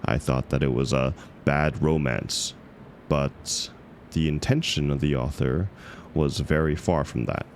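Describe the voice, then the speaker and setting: A man acting as a bashful book club guy with a deep, gravelly voice. He talks hesitantly, stumbling over himself and putting himself down in an intimate romantic audio roleplay. His slow delivery swings expressively up and down. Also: a little hiss or hum. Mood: sheepish, reflective. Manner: hesitant, stammering, self-deprecating. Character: awkward, flustered book club member, bashful critic. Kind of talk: scripted romantic audio roleplay, intimate one-sided dialogue.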